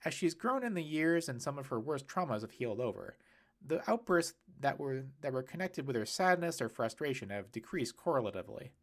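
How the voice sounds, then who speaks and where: A man's voice: medium pitch, sing-song, a little fast, close to an everyday voice. A man voicing a huffy, wordy man of science in a storybook, formal and pompous. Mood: pedantic.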